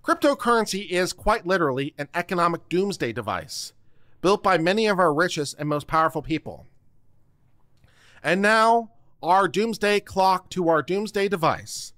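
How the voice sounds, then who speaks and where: Theatrical middle-aged man, lively pitch. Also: moderate pace, dry recording, clean studio sound. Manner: theatrical, emphatic, declamatory. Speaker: host of a legal commentary livestream. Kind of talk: dramatic reading of a text aloud. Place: home studio room, close and dry. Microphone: desk microphone close to the mouth.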